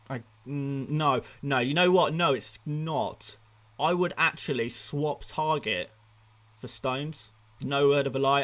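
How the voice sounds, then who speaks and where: A man's voice: on the high side, fairly bright tone. A man hosting a casual live stream, chatty and upbeat, laughing at himself as he reads out viewers' comments. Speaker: fantasy football streamer.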